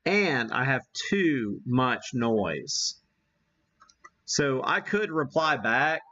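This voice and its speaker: Slow-talking man, dull sound. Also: mid-range voice, sing-song, sharp tone. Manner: halting, folksy, self-deprecating. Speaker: host of a live ham radio demonstration. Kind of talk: casual live demo, thinking aloud.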